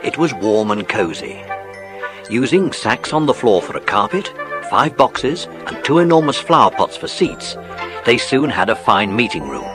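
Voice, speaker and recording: A man narrates a children's story. His medium-pitched voice moves expressively up and down, with music in the recording. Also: sing-song, normal speed.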